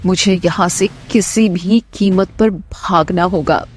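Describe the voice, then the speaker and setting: A woman's voice: fairly low pitch, slow pace, soft background music. A woman voicing an anguished, defiant young captive bride in a romance audio drama, emotional and pleading. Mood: bitter, resolved.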